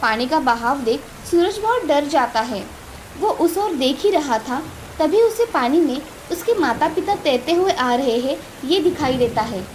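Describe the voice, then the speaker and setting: High-pitched woman narrator, noisy recording. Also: lively intonation, unhurried, a little mellow. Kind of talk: scripted children's story narration. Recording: clearly noisy, with ambience behind.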